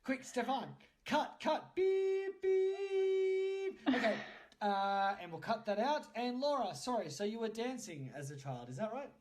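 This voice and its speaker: A man's voice: very high-pitched, expressive, a little slow, darkish tone. A young man hosting a podcast in casual interview chat, joking and bantering as he goes. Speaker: podcast host.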